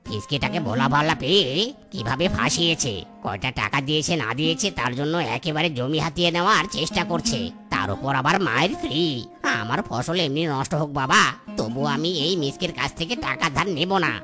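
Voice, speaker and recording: A woman's voice: low voice, animated, a little fast, music in the background. A woman voicing a weary, gossipy farmer animal in a comedy cartoon, grumbling to itself. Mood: wary, mocking. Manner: grumbling, muttering commentary.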